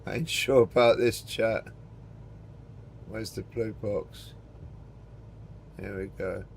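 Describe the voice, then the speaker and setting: A man's voice: lowish voice, fairly even intonation, takes it slowly, gravelly. A man streaming a flight simulator game, thinking aloud to himself and his chat, joking and swearing as he goes. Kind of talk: casual live commentary while playing a flight simulator. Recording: loud engine noise from the game.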